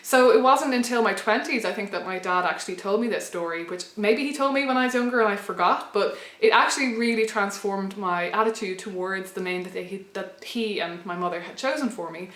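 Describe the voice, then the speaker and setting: A young woman with an Irish accent talks through a personal story in a loose, reflective way, doubling back and restarting as she thinks. Her voice sits at a middling pitch. The room around her is noticeably roomy, with echo off the walls. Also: fairly quick, hardly any pauses, fairly bright tone. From Ireland. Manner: reflective, rambling with frequent restarts and fillers. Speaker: pagan blogger and vlogger. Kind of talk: casual personal storytelling to camera.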